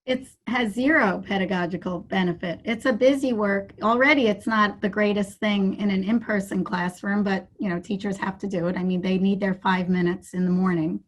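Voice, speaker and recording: Female participant on a video call, mid-pitched voice, frank and chatty. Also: fairly even intonation, moderate pace, hardly any pauses. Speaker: workshop participant. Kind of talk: casual chat.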